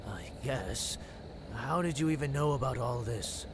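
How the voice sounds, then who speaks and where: An actor playing a nervous, doubtful young petty thief in an audio drama, whiny and hesitant. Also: male voice, mid-range voice, lively intonation, unhurried, noisy background.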